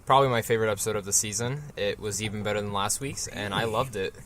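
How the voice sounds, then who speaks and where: A man's voice: on the low side, fast talker, fairly bright tone, a little hiss or hum. A man on a podcast panel giving enthusiastic, conversational opinions in a casual review chat.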